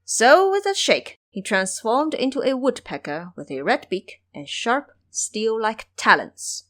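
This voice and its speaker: A woman's voice: a little high, very animated, measured pace. A woman narrating a scripted fantasy adventure as a storyteller.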